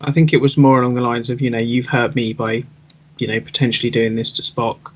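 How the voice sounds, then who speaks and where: A man's voice: mid-range voice, level tone, fairly quick, highs cut off. A man chatting casually as a panelist in a fan discussion podcast.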